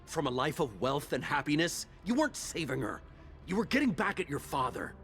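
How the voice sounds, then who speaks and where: A man's voice: on the high side, big pitch swings, fairly quick, a bit bright, soft background music. An actor playing a conflicted, resentful man who has betrayed an old friend, bitter and accusing, in a video game.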